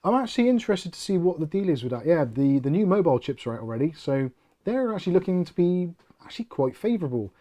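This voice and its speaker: A man's voice: fairly high pitch, very animated, fast, mellow. A middle-aged British man hosting a casual livestream, rambling and joking as he reads out viewer comments. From United Kingdom. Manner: rambling, jokey, self-deprecating. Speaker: host of a tech channel livestream.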